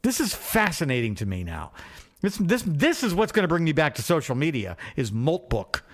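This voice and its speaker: A man co-hosting a podcast in a casual, comic phone chat, excitable and joking, with casual swearing. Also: a little high, moderate pace. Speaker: podcast co-host.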